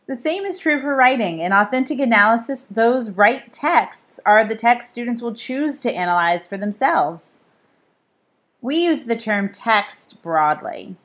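A woman author reading aloud from her nonfiction book, explaining in measured phrases. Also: mid-range voice. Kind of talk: scripted read-aloud of nonfiction.

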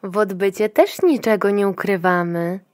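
An actress playing a brusque, officious clinic attendant in a dystopian future audio drama, clinical and commanding. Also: female voice, medium pitch, moderate pace, darkish tone, dry recording, studio quality, lightly acted. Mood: clinical, brisk.

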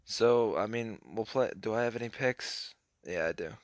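A young man casually commenting on a mobile card game as he plays, hoarse and clearing his throat, his voice trailing off in a laid-back mumble. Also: on the low side, moderate pace, dry recording, clean studio sound.

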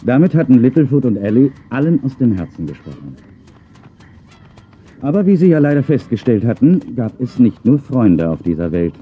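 A man's voice: medium pitch, big pitch swings, normal speed, breathy edge. A man narrating a children's audio drama expressively from a script. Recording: narrow phone-like sound, faint music.

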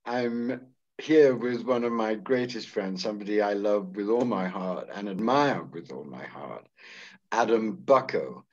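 A man's voice: fairly low pitch, a little slow, darkish tone, muffled recording. A man hosting a conversation, introducing his guest in an effusive, emphatic way. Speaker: host. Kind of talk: interview introduction.

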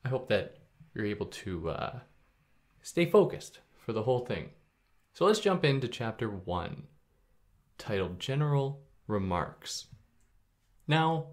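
A young adult man hosting a podcast, explaining philosophy in a relaxed, conversational way with a soothing voice and sudden upward jumps in pitch. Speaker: podcast host. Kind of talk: casual explainer monologue.

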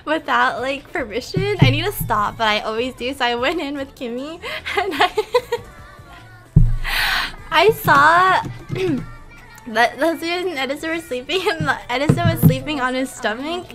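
A young woman with a high voice tells a story on a live stream, giggling and restarting as she goes. Music plays behind her as she chats casually. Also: animated, unhurried. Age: young adult. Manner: giggly, stop-start storytelling with frequent "like". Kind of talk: casual chat, telling an anecdote. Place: indoor room, seated at a streaming desk.

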